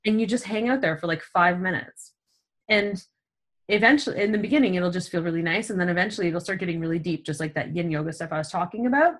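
A woman co-hosting a casual podcast about stretching and wellness, animated, laughing often and filling her talk with "like" and "um". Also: fairly low pitch, fast. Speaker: podcast co-host.

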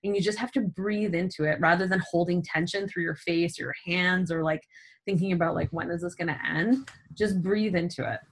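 Animated woman chatting on a wellness podcast. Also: lowish voice, fairly quick, slightly rough. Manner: animated, laughs often, many fillers like "like" and "um". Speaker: podcast co-host. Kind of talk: casual chat about stretching and wellness.

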